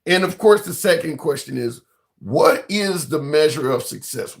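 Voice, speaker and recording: Rambling livestream host, a man. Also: sits high. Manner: talks to viewers and callers directly, rambling and emphatic, swears casually. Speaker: livestream political call-in host. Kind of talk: casual call-in talk show.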